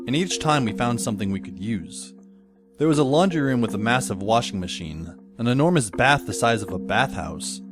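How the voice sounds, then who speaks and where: Man playing wry schoolboy narrator, lively pitch swings. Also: lightly acted. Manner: dry, sardonic. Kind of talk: first-person scripted audio drama narration. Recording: music under the voice.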